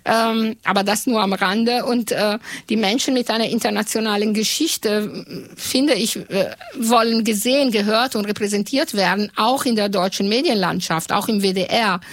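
Halting woman. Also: medium pitch, dry recording. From Italy. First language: Italian. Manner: halting, with frequent pauses and fillers. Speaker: radio journalist. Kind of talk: interview answers.

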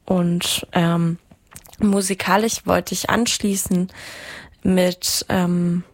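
A woman presenting on radio in an informal style, with hesitant, searching pauses. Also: lowish voice, flat delivery, no echo.

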